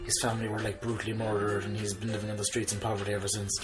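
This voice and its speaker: A man's voice: lowish voice, completely flat delivery, very fast. A young man, chatting casually and jokingly with plenty of swearing while playing a game with a friend. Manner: sweary, jokey. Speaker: gaming video co-host. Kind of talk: casual co-op let's play banter. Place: living room. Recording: game music behind the voice.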